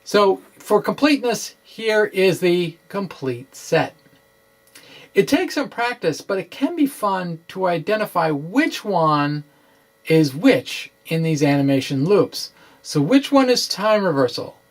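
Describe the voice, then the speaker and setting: A middle-aged man giving a dramatic reading of a science explainer, with deliberate, halting, theatrical phrasing, close to the microphone in a small room. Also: high-pitched, big pitch swings. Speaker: science blogger presenting. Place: small indoor room with bookshelves. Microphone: microphone close in front on a stand.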